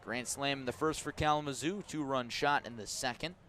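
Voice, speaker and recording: A man's voice: mid-range voice, a little fast, a bit bright. Man calling baseball on radio. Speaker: baseball play-by-play announcer for a team's radio broadcast. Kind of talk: live radio sports commentary. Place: outdoor ballpark at night.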